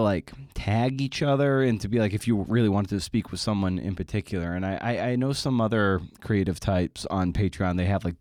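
A man hosts his own podcast in a relaxed, joking way, ribbing himself as he runs through plugs. His voice sits on the low side. The sound is dry, with no room around it. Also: no echo. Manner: chatty, wry and self-deprecating, with asides. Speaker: podcast host. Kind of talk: casual solo podcast intro.

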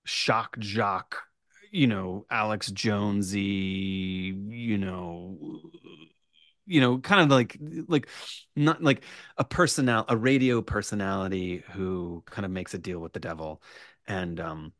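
Halting, low-voiced man, close dry sound. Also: a bit bright, dry recording. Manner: halting, many repeated starts and 'like' asides. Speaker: guest writer on a podcast. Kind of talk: animated casual interview chat.

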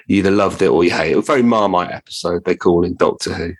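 A man's voice: deep voice, fast. A British man hosting a podcast in a casual, conversational interview style.

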